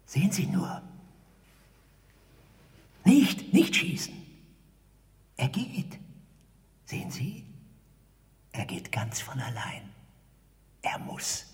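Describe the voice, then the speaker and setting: A meek wheedling clerk type in a radio play, fussy formal talk, breathy, big reverberant space. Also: male voice, medium pitch, wildly swooping pitch, extremely slow, pauses often, heavily acted. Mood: hushed, tense. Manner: wordy, formal, pleading persuasion, often dropping to breathy whisper. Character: meek, wheedling parking-garage attendant desperate for a bodyguard. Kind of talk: theatrical radio drama dialogue.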